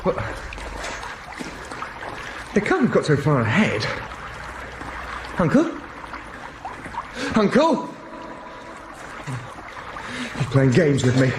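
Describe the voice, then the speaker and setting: An actor playing a panicking young German nephew lost underground in a radio drama, pleading and muttering to himself. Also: male voice, fairly high pitch, wildly swooping pitch, slow, pauses often, heavily acted. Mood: indignant worry. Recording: noisy 1990s radio drama broadcast.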